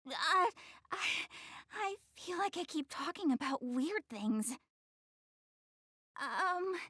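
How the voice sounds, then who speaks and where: A girlish anime game goddess with a high, lively voice. She is prim and proud, yet shy and quick to get flustered, stammering as she backtracks. The sound is close and dry. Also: no echo. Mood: nervous, earnest. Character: young goddess girl, proud but easily flustered, tidy, shy. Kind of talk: anime game English dub.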